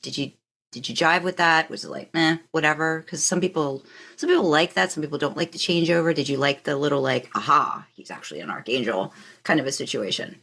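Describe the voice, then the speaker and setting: A woman's voice: deep voice, a little fast, gravelly, fairly bright tone. A woman hosting a podcast in casual, rambling, informal conversation. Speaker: podcast host. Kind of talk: casual interview chat.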